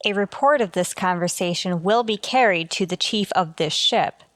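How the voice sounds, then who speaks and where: An actress playing an earnest, deferential starship communications officer in a sci-fi radio drama. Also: female voice, mid-range voice, moderate pace, fairly bright tone, close to an everyday voice. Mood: formal, wistful. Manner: earnest, respectful, careful.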